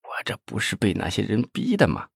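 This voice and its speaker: A man's voice: on the low side, sing-song, fairly quick, husky, dry recording, studio quality, exaggerated voice. Narrator as a twitchy, ruthless schemer pleading with his uncle, storybook drama. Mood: nervous scheming. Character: scheming younger man, nervous and ruthless.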